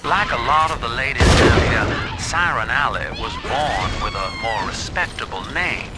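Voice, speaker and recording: A man's voice: expressive, unhurried, natural voice. An actor playing a worldly man of a seedy game city, telling stories in a wry, folksy, savoring way. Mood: wry, amused. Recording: loud mechanical and rumbling background noise.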